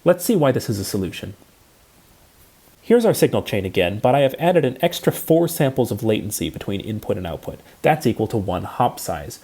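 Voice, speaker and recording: Male lecturer, mellow and a little husky, lively intonation, precise scripted explanation. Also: fairly low pitch, fairly quick. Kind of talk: scripted technical lecture narration.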